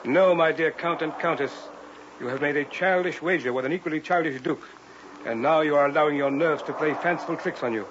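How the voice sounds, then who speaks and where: An actor playing a pompous, sceptical French police inspector in a theatrical, formal old-time radio style. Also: male voice, on the high side, brisk, close to an everyday voice. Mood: condescending. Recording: dull, noisy vintage radio recording.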